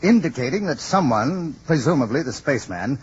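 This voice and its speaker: Muffled like an old broadcast, a husky-voiced American army colonel in a radio play. He is dutiful and formal, giving his report plainly to a superior. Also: mid-range voice, big pitch swings, a little fast. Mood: sober reporting. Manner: formal, deferential, matter-of-fact. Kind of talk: old-time American radio drama acting.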